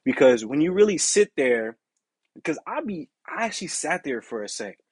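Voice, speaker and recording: A young man with a deep, raspy voice hosting his own podcast, talking in a candid, rambling way with lots of "you know" and "like". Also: sing-song, normal speed, dry recording, studio quality. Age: young adult. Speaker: podcast host. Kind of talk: casual solo podcast monologue.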